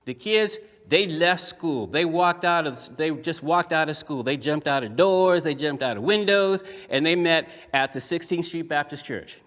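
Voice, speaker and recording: A man performing a story for children as a puppeteer, in a dramatic, emphatic manner with repeated phrases and drawn-out words. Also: a little high, animated, fairly bright tone. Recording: echoey hall with long reverb.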